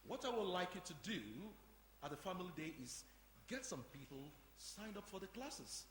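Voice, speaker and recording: A man's voice: high-pitched, big pitch swings, moderate pace, a bit bright. A man playing a fussy, defensive community centre manager with a formal, precise way of speaking in a stage play. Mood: businesslike. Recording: noisy echoey hall, live performance.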